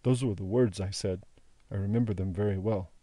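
A man voicing a bewildered, broken old prisoner in an audiobook, halting and repeating himself in wonder. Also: on the low side, fairly even intonation, dark tone, no room sound, close to an everyday voice. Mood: wistful remembering. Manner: halting, repetitive, wondering speech.

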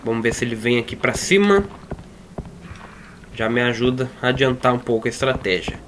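A man's voice: mid-range voice, lively intonation, normal speed, background noise. A man with a Brazilian accent giving casual, slangy let's play commentary as he plays. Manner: informal, slangy. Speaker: gaming YouTuber.

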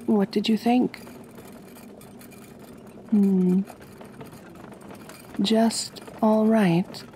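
A woman playing a gentle, doting aristocratic vampire mistress in an ASMR roleplay, speaking softly and breathily with genteel, soothing command. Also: mid-range voice, fairly even intonation, drawn-out words, a little hiss or hum. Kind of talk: ASMR roleplay, intimate acting.

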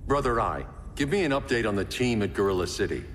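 A man's voice: on the low side, sing-song, quick pace, fairly bright tone, slight background noise. A man playing a grim, stubborn vigilante hero in a video game, stern and terse like an interrogator. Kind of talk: video game cutscene acting.

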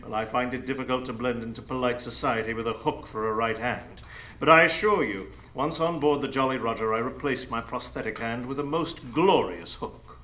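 Man playing a pompous, bright-voiced villainous pirate captain. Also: mid-range voice, normal speed, close to an everyday voice. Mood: mocking. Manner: theatrical, pompous, mocking. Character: grandiose sneering male pirate captain and criminal mastermind. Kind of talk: live radio drama acting. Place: improv theatre stage before a live audience.

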